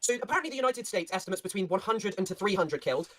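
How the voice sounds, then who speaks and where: Loud, fast debater. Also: mid-range voice, lively intonation. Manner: loud, insistent, interrupts with repeated phrases. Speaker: online debater. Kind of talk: heated online debate.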